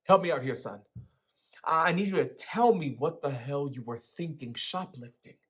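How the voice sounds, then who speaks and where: A man voicing a stern, exasperated father who lectures his son forcefully in a book reading. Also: on the high side, expressive, normal speed, breathy edge. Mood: disappointed. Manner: forceful, emphatic, interrogating.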